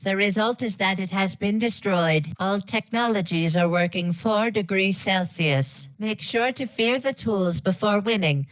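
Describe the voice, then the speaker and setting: A woman's voice: medium pitch, unhurried, few pauses, no room sound, natural voice, poor audio. A woman voicing a parody of a polite, menacing female AI from a video game, with formal, detached, robotic delivery. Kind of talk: scripted parody of game announcements.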